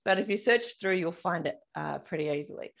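A woman's voice: fairly low pitch, a little fast, vocal fry, a bit bright. An Australian woman hosting a podcast, chatting casually and informally as an interviewer. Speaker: podcast host.

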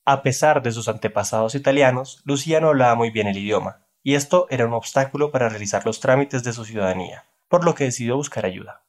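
A man hosting a travel podcast, narrating a scripted, measured introduction. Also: medium pitch. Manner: calm, measured presenter reading. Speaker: podcast host.

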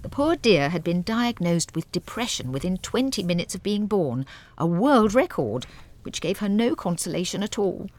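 Droll, animated narrator. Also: female voice, on the low side, moderate pace. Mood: wry. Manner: wry, droll. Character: genteel, wry storybook narrator. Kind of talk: scripted narration.

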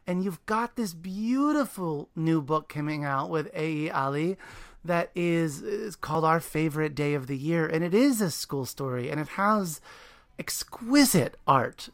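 A woman's voice: low voice, animated, a little slow. A woman hosting an interview podcast, introducing her guest and praising her work with enthusiasm. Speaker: podcast host.

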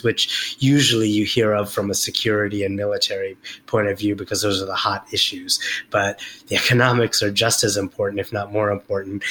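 Middle-aged man, interview guest, lowish bright voice, halting and full of fillers. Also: a little hiss or hum, low-quality recording. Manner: hesitant, many fillers and restarts. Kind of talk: reflective interview talk.

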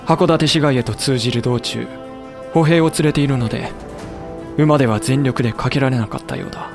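Guy playing a composed samurai official telling of a lost war, measured and grave, mid pitch, music behind. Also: close to an everyday voice. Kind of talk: period voice drama acting and narration.

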